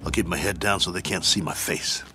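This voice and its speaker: An actor playing a stubborn, determined middle-aged ex-boxer in a radio drama, giving terse, commanding orders. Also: male voice, low-pitched, fairly quick, sharp tone, a little hiss or hum. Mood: grim resolve.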